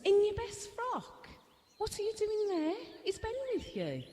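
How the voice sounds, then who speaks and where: A woman's voice: extremely high pitch, wildly swooping pitch, a little slow, dark tone, heavily acted. A woman playing an inquisitive, consoling friend on a phone call in an audio drama. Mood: bright, curious. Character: Rachel, Laura's concerned, chatty, supportive woman friend on the phone. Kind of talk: naturalistic audio drama acting. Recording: long reverb with clear background noise.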